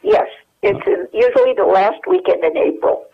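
Older woman, phone-in guest, halting and chatty; muffled telephone sound. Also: fairly high pitch, big pitch swings, gravelly. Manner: rambling, halting with many fillers. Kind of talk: telephone interview.

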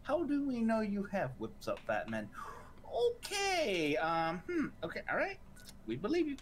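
A woman's voice: medium pitch, huge pitch swings, unhurried, slight background noise. A woman sitting in on a game session, making teasing, playful remarks. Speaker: co-host watching along. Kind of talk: casual banter.

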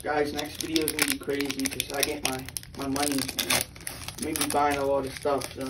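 A man's voice: mid-range voice, little pitch movement, very noisy. A young man casually listing trading cards as he flips through packs, eager for a good pull. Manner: rattles off card names in a quick list, eager. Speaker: channel co-host. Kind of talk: casual card-opening chat.

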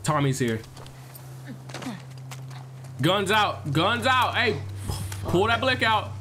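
A young man with a somewhat high voice and a stuffed-up nose talks through a game, joking and reacting out loud to his viewers. His commentary is casual and animated, with faint game music running under it. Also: slow pace. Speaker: gaming streamer.

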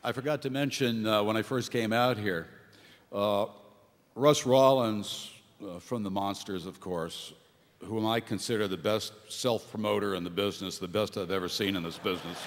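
A middle-aged radio host emceeing on a theater stage at a stand mic, giving thanks in a halting way with fillers. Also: male voice, lowish voice. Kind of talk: emcee thank-you remarks. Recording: reverberant hall with audience.

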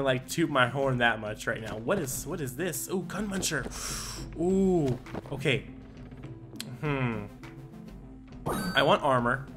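A man giving let's play commentary, thinking aloud, reading item text and breaking into quick excited exclamations. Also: a little high. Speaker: gaming channel host. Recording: game music playing underneath. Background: game music.

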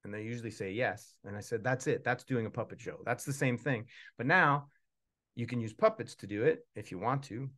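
A man's voice: fairly low pitch, a bit bright. A man in his forties chatting casually at a live book launch as a puppeteer and author, rambling and self-deprecating. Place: small indoor room with puppet stage.

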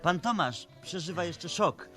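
A cheeky, protective boy in a vintage seventies radio play. The voice is high and very animated, fussing eagerly. Faint music sometimes sits behind it. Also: measured pace. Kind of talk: 1970s radio play acting.

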